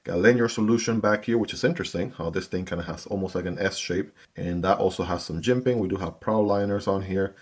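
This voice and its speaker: A man's voice: deep voice, quick pace, few pauses. A man hosting his own gear channel, chatting casually to viewers as he unboxes and reviews a knife. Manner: chatty, talks straight to viewers.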